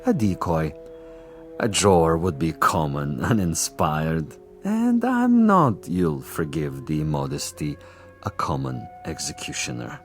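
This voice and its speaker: An actor playing a smug, cunning commissar and assassin in a vintage television drama, with a pompous, savoring delivery. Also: male voice, extremely low pitch, big pitch swings, takes it slowly, faint music behind, full character voice. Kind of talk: 1960s television drama acting.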